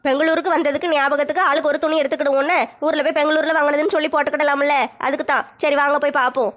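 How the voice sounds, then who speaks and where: A woman voicing a plain-spoken older mother-in-law in a family skit, with a lower, heavier voice than her usual one. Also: fairly even intonation, rapid-fire, a bit bright. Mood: matter-of-fact.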